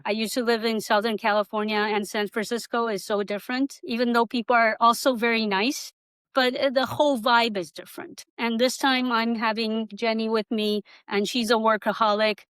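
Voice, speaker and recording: A woman's voice: a little high, fairly even intonation, normal speed, fairly bright tone, smooth, dry recording, rough recording. A middle-aged woman from Taiwan giving an informal workshop talk, rambling and conversational, with non-native English grammar. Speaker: workshop presenter, acupuncturist.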